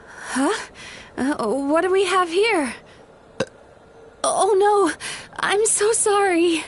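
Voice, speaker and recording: A woman playing a gentle young dream therapist in a video game, soft-spoken, considerate and measured. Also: very high-pitched, lively intonation, exaggerated voice. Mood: flustered.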